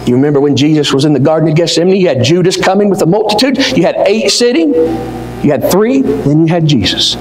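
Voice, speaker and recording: An older man preaching a sermon from a pulpit, swinging from near-shouts down to low, slow words. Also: animated, soft background music. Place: church auditorium. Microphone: pulpit mic, wide far camera. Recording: echoey hall with long reverb.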